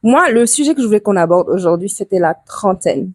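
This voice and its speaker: A woman, co-hosting a casual podcast chat with her best friend, talking quickly and slipping into English and Wolof. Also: medium pitch, expressive, a little mellow, no room sound. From Senegal. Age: 30s. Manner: quick, chatty, mixing French with English and Wolof. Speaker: podcast co-host.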